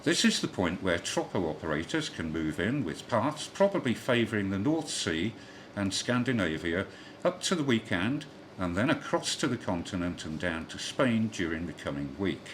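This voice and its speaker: A man's voice: lowish voice, very animated, normal speed, slight background noise. A man reading a scripted amateur radio news bulletin in a formal read-aloud delivery.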